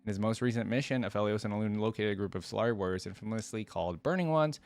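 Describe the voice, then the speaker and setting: Fast-talking man with a lowish voice. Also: a little mellow, no room sound. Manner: informal, curious, thinking aloud. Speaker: reaction video host. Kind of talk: reading wiki text aloud with casual asides.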